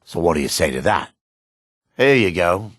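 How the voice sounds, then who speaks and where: An actor playing a shifty, greedy dark elf merchant and foreman in a fantasy video game, brusque and sly, with a raspy voice. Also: low-pitched, very animated, normal speed, no echo, clean studio sound, heavily acted. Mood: sly salesmanship.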